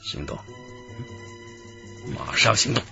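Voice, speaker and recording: A man's voice: slow, close to an everyday voice. An actor playing a decisive male police squad leader in a radio drama, speaking with clipped authority. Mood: firm, urgent. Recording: muffled radio drama with music underneath.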